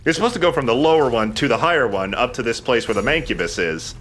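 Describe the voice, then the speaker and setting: A man's voice: medium pitch, expressive, fast, a bit bright, slight background noise. A man, a young adult, giving casual, joking gameplay commentary in a sardonic, grumbling, sweary way. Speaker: let's play host playing the game.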